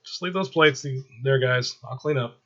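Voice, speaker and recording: A man voicing a caring, apologetic mother of teenage sons, gentle in manner, in a story read aloud. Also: medium pitch, normal speed, muffled recording, voice pushed up, lightly acted. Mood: warm, motherly.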